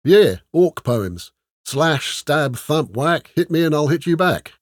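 A man voicing a blunt male orc warrior who talks slowly. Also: a little high, sing-song, no room sound, clean studio sound, voice pushed up. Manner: slow, blunt.

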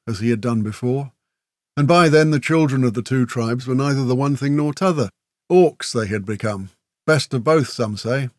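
A man's voice: medium pitch, no echo, studio quality, voice pushed up, lightly acted. A man as a breezy, matey folk warrior. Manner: folksy, matey, talkative, with tag questions. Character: breezy, chatty male kinfolk warrior and teasing storyteller. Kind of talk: fantasy audiobook character dialogue.

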